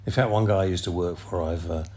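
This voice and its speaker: Deep-voiced older man, chatty. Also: fairly even intonation, fast talker, very noisy. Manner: chatty, laughs often. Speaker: podcast host. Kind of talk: casual chat.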